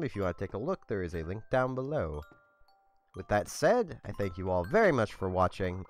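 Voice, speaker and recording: Low monotone young man. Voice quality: slightly scratchy. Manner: monotone, low-key, rambling with many "like"s. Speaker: let's play host. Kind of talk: casual gameplay commentary.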